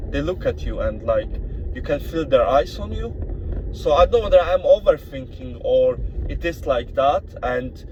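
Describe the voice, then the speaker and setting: A man with a strong Polish accent talks to camera from his car, hesitating and restarting his sentences. The sound is slightly muffled, and steady car and street noise runs clearly under his voice. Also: on the high side, unhurried. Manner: hesitant, full of "you know" and restarts. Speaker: vlogger. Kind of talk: casual monologue to camera. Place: inside a car.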